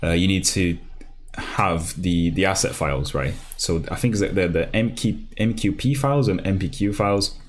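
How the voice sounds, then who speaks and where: A man's voice: low-pitched, moderate pace, a little hiss or hum. A man from Brazil whose first language is Portuguese, hosting a casual live coding stream and talking through each step with lots of fillers and restarts. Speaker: programming livestream host. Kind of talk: live coding tutorial, thinking aloud. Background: keyboard typing.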